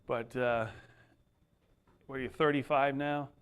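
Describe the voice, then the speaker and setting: A man at a middle pitch introduces the next speaker to a crowd. His talk is chatty and folksy, full of restarts and asides, with the plain voice of an ordinary man. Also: steady tone, measured pace, clean tone. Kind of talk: spoken introduction.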